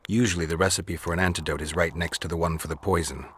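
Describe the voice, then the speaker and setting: A man acting a stoic, battle-worn monster hunter in a video game, terse and deadpan in delivery. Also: deep voice, fast, a little hiss or hum, close to an everyday voice. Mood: grim, measured. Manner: deadpan, terse, matter-of-fact.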